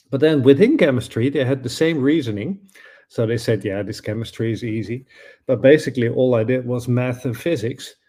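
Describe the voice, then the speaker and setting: A middle-aged man with a Dutch accent and Dutch as his first language, a professor answering interview questions thoughtfully, pausing and restarting as he searches for words. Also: mid-range voice, dark tone. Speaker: guest, professor and author.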